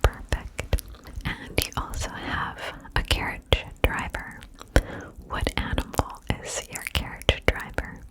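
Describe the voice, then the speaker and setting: Asmr lady whispering a guided visualization, breathy n soothing, takes it real slow. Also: rough recording. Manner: soothing, slow, gentle pauses. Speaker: ASMR video host.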